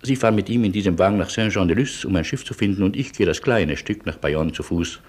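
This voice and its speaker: An actor playing a wry, world-weary refugee in a German radio play, with theatrical irony. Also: male voice, low voice, fast, darkish tone. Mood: wry, resolute. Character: wry, world-weary male refugee, witty and self-sacrificing.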